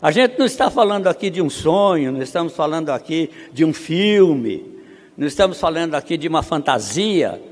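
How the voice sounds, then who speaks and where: A man's voice: fairly high pitch, sing-song. Brazilian man preaching in a reverberant hall. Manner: rhetorical questions, emphatic rises; reads statistics slowly. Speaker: missionary conference speaker. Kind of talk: conference preaching. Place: seminary auditorium.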